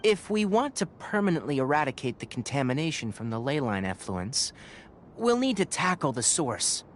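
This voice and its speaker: An actor playing a calm, clinical young scholar and inventor in a video game, explaining things precisely and matter-of-factly. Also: male voice, mid-range voice, moderate pace, a little hiss or hum, natural voice. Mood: serious, explanatory.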